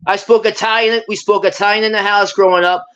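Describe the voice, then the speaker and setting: A man's voice: high voice, level tone, brisk, a bit bright, smooth. Male stream guest from Brooklyn, chatty and repetitive, on muffled lo-fi audio. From Brooklyn, New York. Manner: chatty, repeats himself, drops Italian words. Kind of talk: casual live-stream chat.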